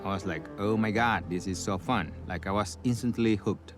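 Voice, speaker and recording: A middle-aged man from Costa Rica with a Costa Rican accent, a river guide talking in a relaxed, reflective documentary voice-over. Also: fairly low pitch, normal speed. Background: music.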